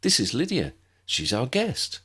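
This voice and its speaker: Man voicing a helpful teenage witch, pitched up. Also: huge pitch swings, a little slow, fairly bright tone, husky, full character voice. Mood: announcing. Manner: friendly, explanatory. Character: helpful, reassuring older schoolgirl witch, a guide.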